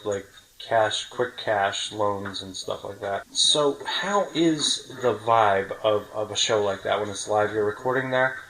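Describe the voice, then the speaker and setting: A man's voice: fairly low pitch, measured pace, fairly bright tone, poor audio. Man hosting a casual chat. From New York City. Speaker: podcast host interviewing a friend.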